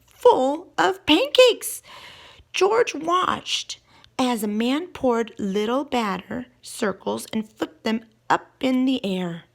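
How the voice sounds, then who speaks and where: Animated woman reading a children's storybook. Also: on the high side, vocal fry. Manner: expressive, drawn-out emphasis on key words. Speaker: teacher reading a picture book aloud. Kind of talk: animated children's read-aloud with questions to the listeners.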